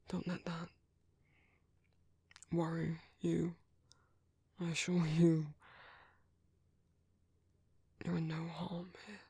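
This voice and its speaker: A man with a British accent playing a gentle, caring vampire priest in a soft-spoken ASMR roleplay, hesitant, polite and reassuring in a half-whispered, breathy, gravelly voice. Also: a little high, no room sound, exaggerated voice. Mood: soothing, reassuring.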